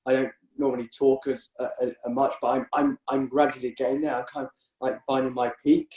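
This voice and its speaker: A man talks about his game in a halting, filler-heavy way, often stumbling over his first words. His voice sits at an ordinary pitch and carries a noticeable roomy echo. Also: flat delivery, darkish tone. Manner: hesitant, many fillers and restarts. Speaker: rugby scrum half. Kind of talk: casual podcast chat.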